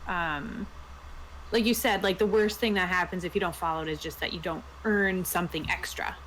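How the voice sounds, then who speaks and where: A woman with a slightly low voice chats casually as a discussion host, with faint noise in the recording. She asks and comments in an informal way. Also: steady tone, moderate pace, fairly bright tone, poor audio.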